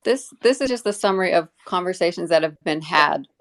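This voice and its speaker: A woman giving unscripted explanations in an online discussion, choosing her words in a careful, halting way. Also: fairly low pitch, lively intonation. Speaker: community representative being interviewed. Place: live online audio room.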